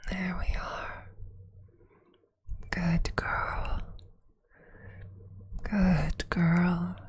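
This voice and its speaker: Female vampire lover, played by a woman, ASMR roleplay. Breathy whisper, very unhurried, tender and possessive. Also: fairly low pitch, darkish tone, exaggerated voice. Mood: coaxing, praising. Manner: slow, soothing, intimate, lingering pauses.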